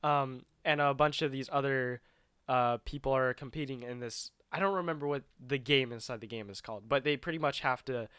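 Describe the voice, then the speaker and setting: A man's voice: mid-range voice, fairly quick, a bit bright, clean tone, no echo, studio quality. A man co-hosting a show, chatting casually about video games in a rambling, loosely explained way. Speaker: video game show co-host.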